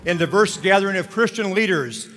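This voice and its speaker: A man addressing a large congress in a formal, steady voice from the stage. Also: high-pitched, a little fast. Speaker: congress leader. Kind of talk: speech to a large assembly. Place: large convention hall. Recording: reverberant hall with music.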